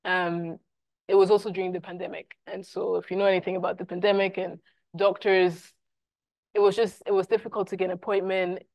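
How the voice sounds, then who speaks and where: A woman's voice: fairly low pitch, little pitch movement, fairly quick, no room sound, muffled recording. A young woman with a Scottish accent and German as her first language, moderating a university panel in a reflective way. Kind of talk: panel discussion. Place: university classroom, indoors.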